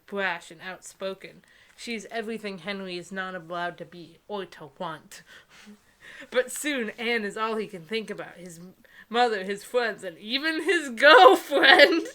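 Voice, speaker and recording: A woman's voice: medium pitch, measured pace, sharp tone. A young woman co-hosting a casual, mocking read-aloud, sarcastic and giggly, tripping over words as she goes. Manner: sarcastic, giggly, often stumbles while reading. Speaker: co-host.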